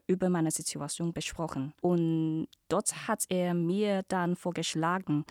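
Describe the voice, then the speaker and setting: A woman from Hong Kong in her thirties, a native Cantonese speaker, answering podcast questions carefully with many pauses and fillers. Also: lowish voice, a little mellow, dry recording, studio quality. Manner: careful non-native speech with many hesitations. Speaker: interview guest, student ambassador.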